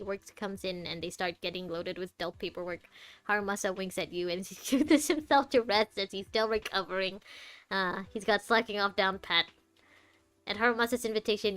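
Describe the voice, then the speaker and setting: A woman's voice: medium pitch, a bit bright. A woman streaming a game with casual, chatty commentary, reacting aloud to the characters. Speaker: gaming streamer. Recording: game music faintly behind.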